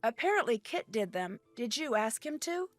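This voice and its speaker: A woman's voice: a little high, sing-song, moderate pace. A woman playing a curious, polite young detective in a video game, direct and questioning in her manner. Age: young adult. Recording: music behind dialogue.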